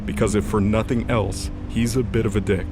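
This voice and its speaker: A man's voice: on the low side, steady tone, a little fast, music in the background. A man narrating a scripted audio drama in a dramatic storytelling style.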